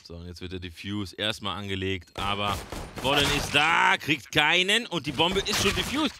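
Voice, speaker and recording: A man's voice: mid-range voice, moderate pace, pushed and bright, slight background noise. A man casting a game alone as play-by-play commentator, chatty and addressing viewers as friends. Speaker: esports caster. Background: game gunfire and clicks.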